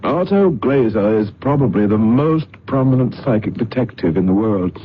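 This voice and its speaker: An actor playing a courteous gentleman, formal and matter-of-fact in an old radio drama. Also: fairly low pitch, animated, normal speed, close to an everyday voice. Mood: courteous, informative. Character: proper, courteous English gentleman. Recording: muffled, hissy old radio recording.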